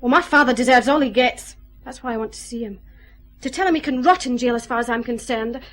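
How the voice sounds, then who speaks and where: A vulnerable, strained young woman in a radio drama. Her voice sits fairly high, with a tense, naturalistic delivery. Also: a little fast, slightly rough. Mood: bitter, angry.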